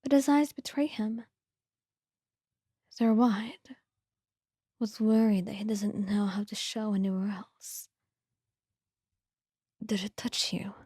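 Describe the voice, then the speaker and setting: A woman reading scripted ASMR narration in a soft, breathy whisper, with a gentle, hushed storytelling manner. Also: mid-range voice, steady tone, takes it slowly, long pauses, no room sound, studio quality.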